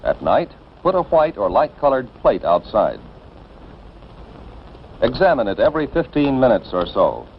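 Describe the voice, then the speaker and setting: An American man reading scripted 1950s instructional film narration in an authoritative, deliberate manner, addressing the listener directly and emphatically. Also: mid-range voice, very animated, a little hiss or hum. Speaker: narrator of civil defense educational film. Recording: dull band-limited vintage film soundtrack.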